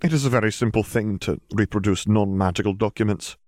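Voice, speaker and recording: A man's voice: lowish voice, fairly quick, darkish tone, no room sound, clean studio sound, close to an everyday voice. A man voicing a stern, formal older witch schoolteacher with precise diction in an audiobook story. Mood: matter-of-fact.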